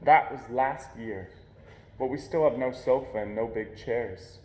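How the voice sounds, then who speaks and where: A man reading a children's picture book aloud in an expressive storytelling style, pausing deliberately for emphasis. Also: mid-range voice. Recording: echoey, roomy sound.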